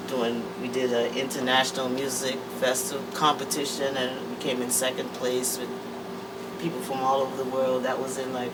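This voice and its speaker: A woman, speaking as an interview guest in easygoing, hesitant casual talk with many fillers, in a music production room. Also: very deep voice. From Trinidad and Tobago. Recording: distant mic with air-conditioning hum.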